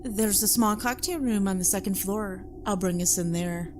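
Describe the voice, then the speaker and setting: A woman acts out a wealthy plantation owner in an audio drama, in a mid-pitched voice. She is imperious and exact, keeping a formal, clipped courtesy that carries cool menace. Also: normal speed, over music, close to an everyday voice. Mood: haughty.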